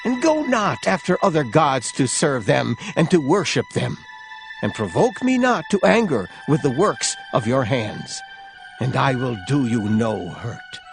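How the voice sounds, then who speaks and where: A man's voice: mid-range voice, big pitch swings, unhurried, gravelly, soft background music, low-quality recording. An actor playing an earnest male prophet pleading for repentance, solemn and exhorting in a dramatized scripture reading. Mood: urgent pleading.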